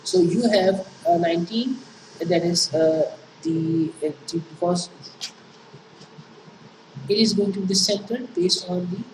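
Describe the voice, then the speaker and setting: A woman with a Pakistani accent lectures on engineering problems, pausing often on fillers and restarting her sentences. She sounds far from the microphone in an echoey room, with clear noise behind her. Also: lowish voice, a little mellow. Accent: Pakistani English. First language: Urdu. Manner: halting, many fillers and restarts. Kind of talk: classroom lecture explaining worked problems. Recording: echoey room, distant mic, noisy.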